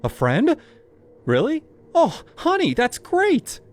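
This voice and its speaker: A man's voice: wildly swooping pitch, normal speed, gravelly, darkish tone, faint music behind, exaggerated voice. A man doing a shrieking, frantic mother. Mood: delighted. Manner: shrieking, high-strung. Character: frantic middle-aged mother, shrill and panicky.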